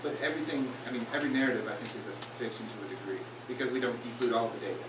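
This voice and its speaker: A man, a songwriter on a panel, thinking out loud onstage as he searches for words. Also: medium pitch, rapid-fire. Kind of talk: casual onstage discussion. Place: concert house, live in front of an audience. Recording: roomy live hall, distant, noisy.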